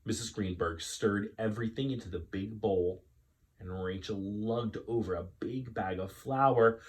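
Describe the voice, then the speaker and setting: A man's voice: on the low side, darkish tone. A man reading a children's story aloud as a storyteller, dramatic and drawn out, with chatty asides. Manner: dramatic, drawn-out delivery with pauses.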